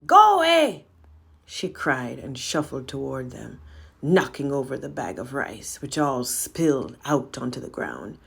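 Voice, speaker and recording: A woman storyteller with a low voice and plenty of fry. She narrates a folk tale slowly and dramatically, as a read-aloud.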